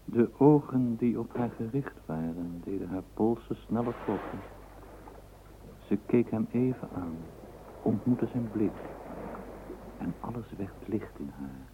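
A man narrating a literary radio play in a measured storytelling manner, on a thin and noisy recording. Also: on the low side, animated, phone-quality sound. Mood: measured, lyrical.

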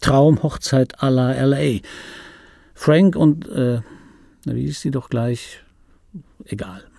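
An actor playing a wry, world-weary middle-aged film dubbing actor, reminiscing in a dry, laconic, intimate radio play monologue with a gravelly, husky voice. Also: male voice, mid-range voice, takes it slowly, mellow, dry recording. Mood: resigned, musing. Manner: dry, laconic, musing aloud. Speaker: radio play actor, first-person narrator.

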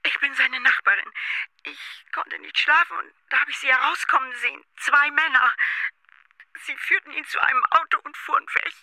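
Anxious neighbour lady, radio drama, high voice, really piercing, urgent, very dry sound. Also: fairly even intonation, normal speed, sharp tone, no room sound, heavily acted, low-quality recording. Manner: worried, urgent. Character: anxious East German neighbour woman reporting an arrest.

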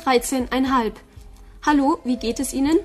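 A woman's voice: high-pitched, normal speed, soft background music, natural voice. A woman playing a precocious, cheeky thirteen-year-old girl in a radio play, cutesy and demanding. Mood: cool, cheeky. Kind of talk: sci-fi crime radio play.

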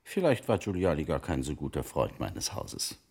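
An actor playing a wealthy, wily merchant of old Italy in a German radio play, speaking formally and deliberately in a gravelly, husky voice. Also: male voice, rumbling low, very animated, a little mellow, full character voice. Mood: guarded. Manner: formal archaic phrasing, deliberate storytelling.

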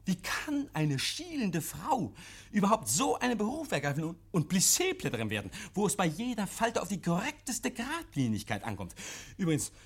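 An actor in a 1950s German radio play, playing a nervous, evasive private scholar who talks in a fussy, pedantic, over-polite and agitated way. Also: male voice, high voice, wildly swooping pitch, moderate pace, husky, heavily acted. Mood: agitated, insistent. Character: nervous, evasive male private scholar hosting policemen, defensive and testy. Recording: vintage 1951 radio drama recording.

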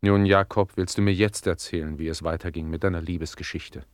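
A genteel master played by a man questions his servant in a radio play. The voice is very deep, recorded dry with no room around it, and sounds inquisitive. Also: steady tone, fairly quick, smooth, no room sound, natural voice. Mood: curious, prompting. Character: curious gentleman master prompting his servant.

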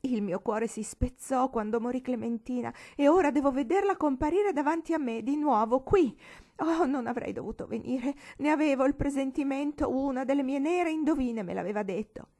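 A woman voicing a hysterical elderly lady in an audiobook, wailing and shrill, weeping as she cries out. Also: moderate pace, warm tone. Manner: wailing, high and shrill, drawn out.

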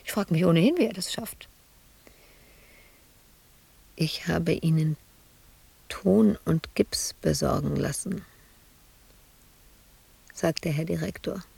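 Tormented sculptress in an asylum, radio play: dark, creaky voice, intimate, breathy, haunted monologue. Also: female voice, low-pitched, takes it slowly, pauses often, full character voice. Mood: weary, bitter. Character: anguished woman sculptor confined in an asylum, haunted, brooding.

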